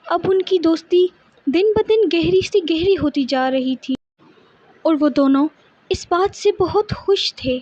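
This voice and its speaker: A woman's voice: extremely high pitch, unhurried, darkish tone, highs cut off. A woman narrating a scripted children's story as its storyteller.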